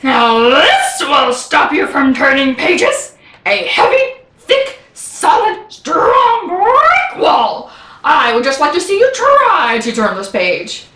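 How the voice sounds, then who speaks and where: A woman's voice: high-pitched, huge pitch swings, takes it slowly, bright, forceful tone, heavily acted. A woman voicing a lovable, fearful furry monster from a children's picture book, with dramatic exclamations and pleading. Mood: boastful. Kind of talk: animated children's picture-book character reading. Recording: echoey room, distant microphone.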